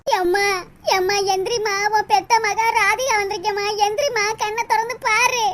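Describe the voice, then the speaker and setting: A woman's voice: extremely high pitch, moderate pace, heavy background noise. A woman voicing an anxious, suspicious daughter in a cartoon family drama, plaintive, with a southern Tamil Nadu accent. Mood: tearful pleading. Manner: melodramatic, plaintive. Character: anxious, suspicious daughter fretting over her mother.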